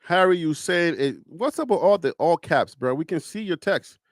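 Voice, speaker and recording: A man's voice: normal speed, dry recording. A man with a Liberian accent hosting a live stream, arguing emphatically with rhetorical questions and teasing. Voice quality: animated, rising pitch when arguing. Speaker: live show host. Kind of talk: casual live-stream commentary.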